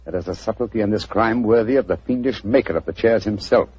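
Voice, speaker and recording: An actor playing a brilliant, cool-headed detective who speaks in a crisp, commanding way in a 1940s radio drama. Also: male voice, on the low side, fast talker, a little mellow, dull audio. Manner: crisp, commanding, clipped British diction.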